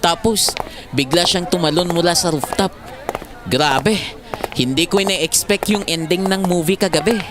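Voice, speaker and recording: A man's voice: sits high, normal speed, background noise, lightly acted. An actor playing a good-natured, easily flustered young male university student in a radio drama, with a Filipino accent. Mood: flustered, friendly.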